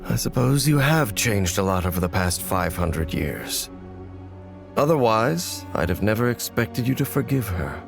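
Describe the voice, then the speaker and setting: A man voicing a stern, bitter, centuries-old knight in a video game, formal and cold, with measured, accusing delivery. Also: lowish voice, big pitch swings, creaky, music in the background, exaggerated voice. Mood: cold resentment. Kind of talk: video game dramatic voice acting.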